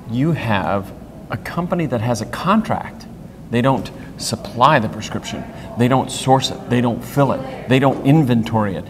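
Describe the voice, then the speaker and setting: A man in his fifties with a medium-pitched voice answers as an interview guest. He is plain-spoken and explains things through stories and analogies. The recording has a long hall echo and faint noise behind him. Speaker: interview guest, industry executive. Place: hotel conference corridor, indoors. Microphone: clip-on wireless lapel mic.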